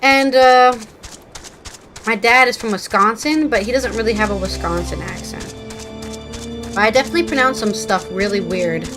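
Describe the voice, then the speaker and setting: A woman's voice: a little high, moderate pace, pauses often, over music. A woman from southern Maryland with a slight Southern twang, chatting casually and self-deprecatingly as a streamer while she plays a game. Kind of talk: casual chat while gaming.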